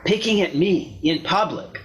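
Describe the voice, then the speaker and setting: A man playing a wounded, self-justifying husband looking back on a failed marriage in a measured, reflective dramatic monologue reading. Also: high-pitched, fairly bright tone. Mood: wounded, puzzled. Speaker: actor in a readers theater performance.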